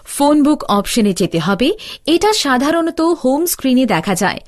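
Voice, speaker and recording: A woman's voice: high voice, big pitch swings, moderate pace. A woman narrating a scripted tutorial as an instructor, explaining in a didactic, step-by-step way.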